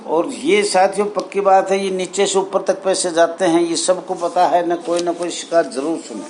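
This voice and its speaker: A man addresses association members as their leader, reading out documents and commenting in deliberate, halting short phrases. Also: high-pitched. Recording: steady background noise.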